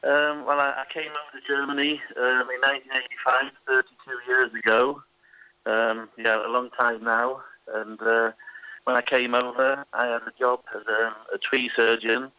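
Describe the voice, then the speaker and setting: A middle-aged Englishman with a bright, forceful voice talks in a chatty, rambling way and stops often for ums and restarts. He sounds like a radio interview guest. The sound is dry and close. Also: medium pitch, level tone, moderate pace, clear, resonant tone, no room sound, rough recording. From England. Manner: chatty, hesitant with many ums.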